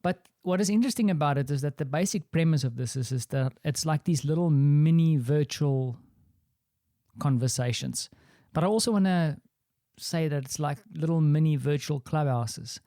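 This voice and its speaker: A man's voice: on the high side, normal speed, mellow, no echo, studio quality. A man with an Afrikaans accent hosting a solo podcast, talking in a rambling, reflective, self-deprecating way from his small office. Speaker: podcast host.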